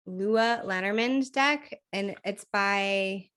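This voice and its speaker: Woman, podcast co-host, casual and talkative, laughs a lot, slight croak. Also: mid-range voice, slow pace, fairly bright tone. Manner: chatty, laughs often, thinks aloud with fillers. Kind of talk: casual chat.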